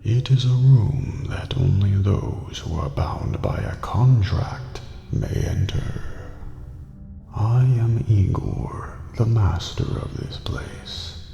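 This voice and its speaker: Eerie old master of a dream prison , acted by a man: slow, dark, formal and ominous, gravelly ASMR near-whisper. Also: on the low side, highs cut off. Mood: ominous, solemn. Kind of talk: scripted ASMR roleplay. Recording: echoey hall reverb with background music.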